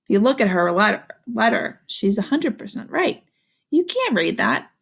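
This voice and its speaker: A woman's voice: medium pitch, big pitch swings, moderate pace, gravelly, a bit bright, slightly rough. A woman reading a storybook aloud to young children, expressively, with asides to her listeners. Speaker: storybook reader.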